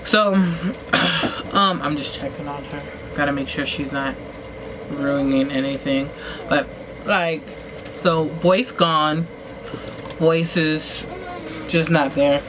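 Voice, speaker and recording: A woman talking casually as she gets ready, rambling with lots of "like"s and restarts and jumping up in pitch for emphasis. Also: slow, pauses often. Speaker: vlogger. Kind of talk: casual storytelling while getting ready. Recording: noisy home recording.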